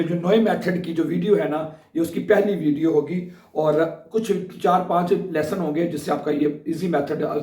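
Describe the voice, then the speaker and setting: A hoarse man with a sore throat gives a casual beginner language lesson, talking in a slightly raised register. He keeps pausing to check that his viewers are following along. His voice sits back from the mic in a roomy, echoing space. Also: fairly high pitch, warm tone, distant mic. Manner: chatty, repeats "ठीक है?" to check in with viewers. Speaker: German teacher.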